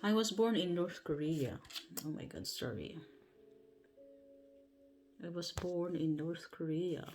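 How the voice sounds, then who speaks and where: A woman with a Filipino accent reads a book aloud on a bedtime livestream from home. She goes slowly and carefully, trips on longer words and corrects herself. Soft music plays faintly behind her. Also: lowish voice, animated. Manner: careful, halting reading with self-corrections. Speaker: livestream host. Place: at home before bed. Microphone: livestream setup.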